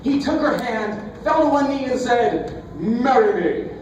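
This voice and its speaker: A man telling a comic fairy tale live at a festival, in a playful, theatrical way with deliberate pauses. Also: extremely high pitch. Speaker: storyteller. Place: storytelling festival, live performance. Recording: echoey, distant, noisy live festival recording.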